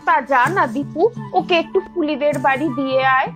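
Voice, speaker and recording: A woman's voice: high-pitched, fairly quick, over music, close to an everyday voice. A woman voicing a practical, busy mother with a coaxing, homely manner in a children's cartoon.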